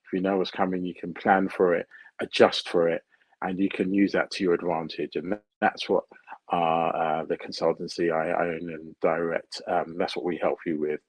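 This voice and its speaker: A middle-aged British man answering interview questions in a measured way, repeating phrases as he searches for words. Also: low-pitched, little pitch movement, dry recording, poor audio. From United Kingdom. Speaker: guest, procurement consultant.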